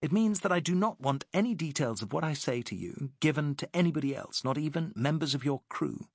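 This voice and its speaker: A man voicing a poised British woman working as a secret agent, speaking crisply and persuasively. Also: fairly high pitch, animated, fairly quick, no room sound, studio quality, pitched above the performer's own voice.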